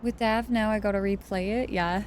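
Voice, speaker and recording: A woman's voice: medium pitch, fairly even intonation, normal speed, a little mellow, a little hiss or hum. A tired woman chatting casually with her viewers while she streams a game late at night. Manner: chatty, thanks viewers and reads chat aloud. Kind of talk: casual chat while gaming live.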